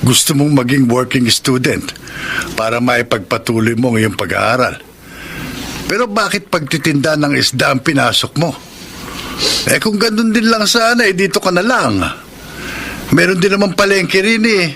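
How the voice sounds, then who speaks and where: A man's voice: fairly high pitch, moderate pace, sharp tone, a little hiss or hum. A man with a Filipino accent playing a stern but caring provincial father in a radio drama. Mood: concerned, chiding.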